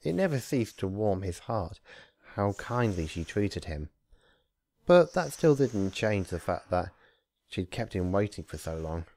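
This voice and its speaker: Low-pitched man reading stories, dry close sound. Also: big pitch swings, measured pace, a little mellow, no room sound. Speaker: fan fiction reader, channel host. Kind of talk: casual intro, then narrated story reading.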